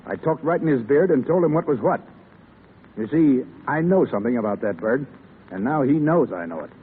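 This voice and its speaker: An actor playing a breezy, slangy, irreverent Foreign Legion soldier in a 1930s radio drama. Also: male voice, mid-range voice, expressive, brisk, natural voice. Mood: defiant. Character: cocky, wisecracking young rebel soldier of the Foreign Legion. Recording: tinny, echoing, noisy 1930s radio broadcast.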